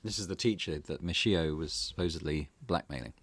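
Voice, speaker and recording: A man's voice: low voice, a little fast, fairly bright tone, husky, no room sound. A man hosting a gaming video, making casual asides between the lines he reads from a visual novel.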